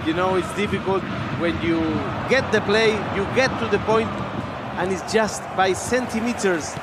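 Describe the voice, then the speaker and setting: Man, Argentine Spanish accent, friendly co-commentator, repeats phrases, high voice, noisy venue. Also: normal speed. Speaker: esports football co-commentator. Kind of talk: color commentary. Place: live event venue. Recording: loud noise behind the voice.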